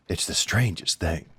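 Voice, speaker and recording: A man playing a duke's loyal, blunt werewolf companion in a fantasy audio drama, with a sardonic, familiar way of talking and a deep, husky voice. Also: bright, forceful tone, a little hiss or hum, heavily acted. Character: loyal, blunt, defiant werewolf right-hand man to a duke.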